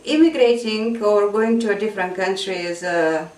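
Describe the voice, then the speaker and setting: A woman's voice: medium pitch, normal speed, a little mellow. Woman guest, echoey distant sound. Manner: earnest, reflective, with filler ums. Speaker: English teacher for immigrants and refugees. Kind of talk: interview guest, casual. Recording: echoey room, voice a bit off-mic.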